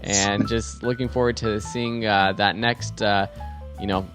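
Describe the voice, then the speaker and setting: A man's voice: fairly low pitch, level tone, a little slow. Podcast host man with faint music. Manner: affirming, friendly wrap-up, brief "mm-hmm"s. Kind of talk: interview and podcast outro.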